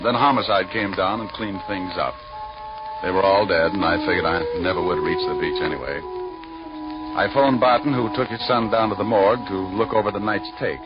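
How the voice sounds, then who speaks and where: An actor playing a cocky, hard-boiled private detective in a 1940s radio noir, glib and dryly wisecracking. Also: male voice, deep voice, brisk, close to an everyday voice. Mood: terse narrating. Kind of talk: 1940s radio noir acting and first-person narration. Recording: muffled old radio recording, loud music.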